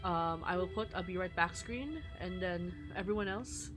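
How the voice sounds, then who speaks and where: A woman's voice: fairly low pitch, moderate pace. A woman livestreamer who reads a story game aloud and chats casually, with informal, wry commentary. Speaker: streamer reading a visual novel aloud. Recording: music behind the voice.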